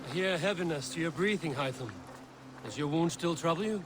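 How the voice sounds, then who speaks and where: Guy voicing a blunt skeptical viking leader in a game, voice a bit high for a man, terse n dry, music behind. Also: animated, a little fast, close to an everyday voice. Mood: concerned, blunt. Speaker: video game character voice. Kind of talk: scripted dramatic game dialogue.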